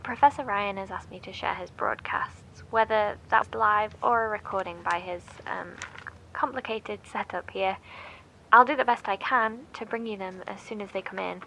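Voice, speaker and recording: An actress playing an anxious bunker survivor who hesitantly and reluctantly makes a broadcast in an audio drama. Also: female voice, medium pitch, gravelly, sharp tone, a little hiss or hum, low-quality recording. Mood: nervous, downcast. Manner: hesitant, halting, sighing.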